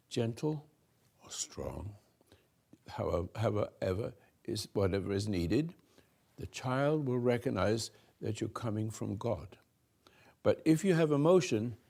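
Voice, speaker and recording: Slow, gravelly older man musing. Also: mid-range voice, lively intonation. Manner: halting, repeats and restarts words, swings from soft to loud. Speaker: spiritual teacher hosting a talk. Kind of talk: slow rambling spiritual talk to a room.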